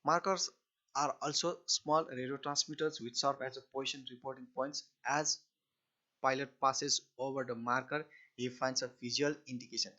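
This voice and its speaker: A male lecturer speaks at a middling pitch on a dull, lo-fi recording. He explains technical material step by step, often restarting and repeating his phrases in a halting way. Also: steady tone, bright, forceful tone.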